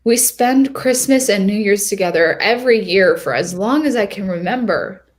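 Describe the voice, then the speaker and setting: A woman narrating a short story in the first person as a lovesick, insecure young woman, earnest and expressive. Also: medium pitch, normal speed, close to an everyday voice. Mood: wistful.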